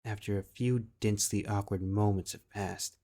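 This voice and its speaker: A man's voice: fairly low pitch, fairly even intonation, normal speed, mellow. A man reading scripted fan fiction as a story narrator. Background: music.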